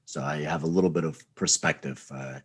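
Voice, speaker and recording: A man's voice: extremely low pitch, steady tone, brisk, a bit bright, husky. A man hosting an interview podcast, talking in a friendly, rambling way with repeated words. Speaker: podcast host. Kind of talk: interview conversation.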